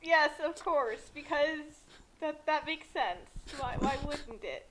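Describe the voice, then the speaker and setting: A woman co-commentating in casual comic banter, incredulous and questioning, with halting restarts. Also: high-pitched, lively intonation, background noise. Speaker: co-commentator reacting to the reading.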